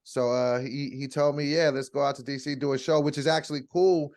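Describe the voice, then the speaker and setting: A man in his forties, a guest comedian on a podcast, talking in a relaxed way and laughing easily. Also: mid-range voice, a little fast. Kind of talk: casual podcast chat.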